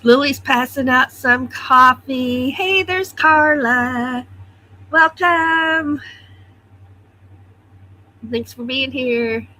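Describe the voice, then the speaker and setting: A woman's voice: sits high, extremely slow, pauses often, pushed and bright, a little hiss or hum, low-quality recording. A woman hosting a casual morning livestream from her computer at home, greeting viewers one by one and sounding a little stuffy. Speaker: livestream host. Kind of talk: casual morning chat reading viewer comments.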